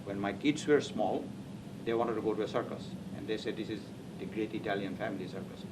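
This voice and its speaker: Halting man, distant and noisy. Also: lowish voice. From Assam, India. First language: Assamese. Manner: halting, repeats and restarts words. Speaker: interviewee, author. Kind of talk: reminiscing oral history interview. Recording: distant mic, loud background noise.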